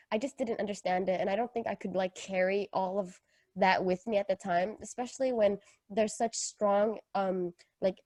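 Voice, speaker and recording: A woman's voice: mid-range voice, mellow, no room sound. A young woman from Kenya, a podcast co-host telling personal stories in casual, slangy, jokey talk. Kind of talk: casual chat, personal storytelling.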